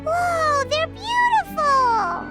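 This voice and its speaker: Squeaky, excitable little fairy girl. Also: very slow, heavily acted. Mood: amazed. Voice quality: squeaky, childlike. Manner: excitable, refers to self in third person. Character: tiny cheerful childlike fairy companion, female, chatty. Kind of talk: anime game voice acting. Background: music.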